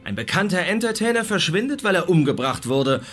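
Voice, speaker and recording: Nerdy, defiant amateur sleuth. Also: male voice, sits high, very animated, fairly bright tone, faint music behind. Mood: accusing, defiant. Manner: halting, then pointedly sarcastic. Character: nerdy, hesitant but defiant male amateur investigator. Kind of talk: modern German crime radio drama acting.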